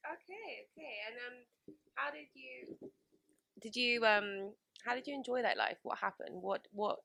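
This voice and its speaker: Creaky-voiced woman hosting. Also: on the high side, measured pace, sharp tone, a little hiss or hum. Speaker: radio show host. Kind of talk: introducing and interviewing a guest.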